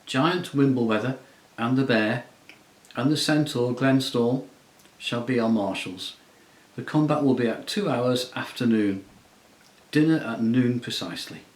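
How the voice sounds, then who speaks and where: A young king speaks with calm courtesy and quiet authority, as a man reads him for a storybook. A roomy echo surrounds the voice. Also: medium pitch, measured pace, lightly acted. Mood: commanding.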